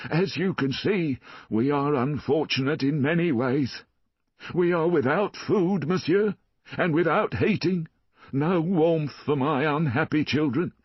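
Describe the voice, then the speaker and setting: A man's voice: fairly high pitch, slightly rough, no room sound, voice pushed up. A man voicing a fawning, scheming poor man who pleads and whines. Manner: whining, pleading. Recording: dull dry lo-fi.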